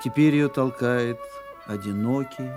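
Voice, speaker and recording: An actor playing a solemn, mournful narrator of a tragedy, speaking in broken, lingering phrases as scripted dramatic narration. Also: male voice, medium pitch, a little slow, over music, close to an everyday voice.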